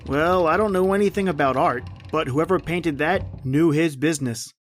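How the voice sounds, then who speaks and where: A man's voice: a little high, normal speed, a little hiss or hum, natural voice. A man playing a matter-of-fact house guest in an old-time radio drama. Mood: wary.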